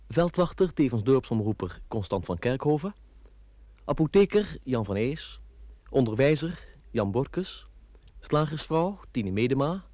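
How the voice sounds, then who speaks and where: A man announces formally in old radio style. His mellow voice is slow and measured yet melodic. The sound is dry and dull. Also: medium pitch, dry recording. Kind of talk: formal scripted credits reading, old radio.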